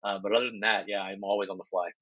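A man chatting as a podcast guest in a relaxed, self-deprecating way, with frequent ums and restarts. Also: lowish voice, racing, no echo, dull audio. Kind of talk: casual interview talk.

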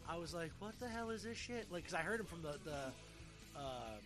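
A man's voice: a little high, expressive, moderate pace, over music. A middle-aged man hosting a podcast in casual chat, rambling and often repeating his words. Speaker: podcast host.

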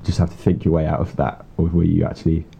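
A man's voice: sits low, little pitch movement, fast talker, creaky, mellow, husky. A young man in casual chat, curiously asking probing questions. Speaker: interviewer. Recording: muffled, dull sound.

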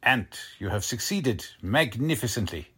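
Radio drama role: imperious spymaster, husky edge, highly animated pitch. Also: male voice. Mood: smooth, formal. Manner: imperious, theatrical, deliberate. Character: haughty, scheming German military officer and Nazi spymaster. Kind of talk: 1940s radio drama acting.

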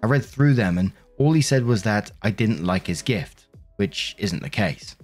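A man's voice: on the low side, expressive, moderate pace, darkish tone, soft background music. A man reading online stories aloud and adding chatty asides as narrator. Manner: conversational, with frequent asides.

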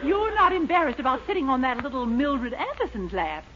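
A woman's voice: sits high, big pitch swings, a little fast, fairly bright tone, some chatter behind. A woman playing a gushing, flirtatious and jealous spinster telephone operator in a 1940s radio sitcom. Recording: dull, very noisy 1940s radio with audience.

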